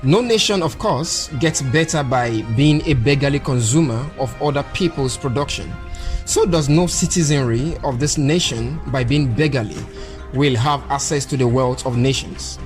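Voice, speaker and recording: An actor with a Nigerian accent playing a righteous, earnest officer in a radio drama, speaking in an oratorical way. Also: male voice, mid-range voice, normal speed, soft background music, natural voice. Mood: solemn, impassioned. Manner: oratorical, declamatory. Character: righteous, earnest male officer preaching national morality.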